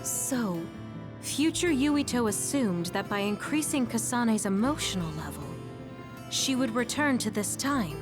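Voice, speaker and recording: A woman playing a kind, composed young teammate in an English game dub, speaking gently and reassuringly. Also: on the high side, animated, measured pace, over music. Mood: calm, reassuring.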